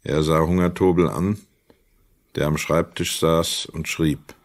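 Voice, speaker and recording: A man's voice: a bass voice, steady tone, measured pace, dry recording. A man reading scripted literary narration as the narrator of a crime radio play.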